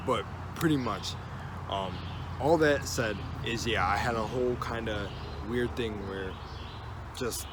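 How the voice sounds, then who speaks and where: A low-voiced man rambles through a casual storytime vlog, full of restarts, fillers and the odd curse word. He is recording outside, and loud street noise and traffic hum sit right under his voice. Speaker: vlogger. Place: outdoors. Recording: loud outdoor background noise.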